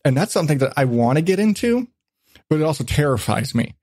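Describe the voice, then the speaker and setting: A male podcast host chats casually in a somewhat high voice. He swears freely and acts out little asides as he tells things. The sound is very dry, with hardly any room to it. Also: fast, no room sound. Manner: profane, animated storytelling with acted-out asides.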